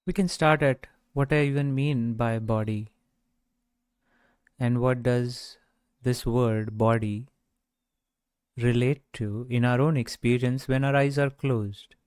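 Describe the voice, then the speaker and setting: Guy leading a guided meditation, really slow and gentle, lots of pauses, close dry sound. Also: medium pitch, fairly even intonation, smooth, no room sound. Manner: gentle, measured, with long pauses. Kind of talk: guided meditation, unscripted talk.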